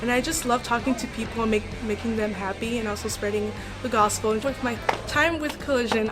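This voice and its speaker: A teenage girl from Togo in West Africa, a native French speaker, giving an informal testimonial as a student. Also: medium pitch.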